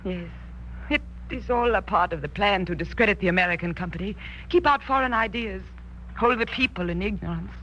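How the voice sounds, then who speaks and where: An actress playing a young, guarded royal ruler with a formal, measured manner in a 1940s radio drama. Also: female voice, mid-range voice, fairly bright tone, natural voice. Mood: earnest. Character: imperious, guarded young Rani of a mysterious kingdom. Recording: dull, noisy old radio recording.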